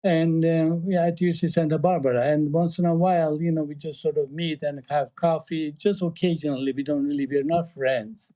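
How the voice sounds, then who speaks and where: A man from eastern Turkey speaking English with a Turkish accent, talking in a casual panel discussion, halting often with fillers and restarts. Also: on the high side, fairly even intonation, warm tone. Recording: online audio call.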